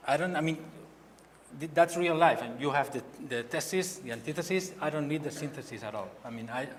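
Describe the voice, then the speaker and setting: A man from Barcelona speaking English with a Spanish accent, a painter giving a halting gallery talk who repeats words as he searches for them. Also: fairly high pitch, crowd noise. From Spain. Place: gallery hall. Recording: long hall reverb, faint ambience.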